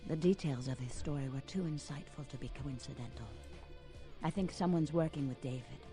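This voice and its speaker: A woman's voice: low voice, a little fast, music in the background, close to an everyday voice. An actress playing a seductive, dramatic female vampire in a video game, teasing and sorrowful, slipping into whispered phrases. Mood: grave, intent.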